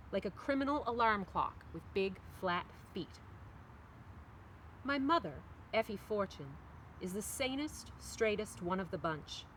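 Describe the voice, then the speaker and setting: A middle-aged woman, an author, reading their own novel aloud in an expressive literary style. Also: medium pitch, low-quality recording. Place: outdoors on a park bench among trees. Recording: faint outdoor background noise.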